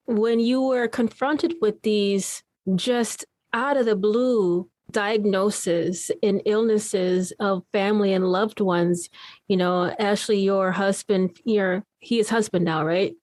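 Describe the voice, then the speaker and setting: Woman host gushing at guests, dry close sound, mid pitch. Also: a little slow, dry recording. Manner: warm, effusive, rambling questions. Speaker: podcast host. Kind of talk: casual podcast interview.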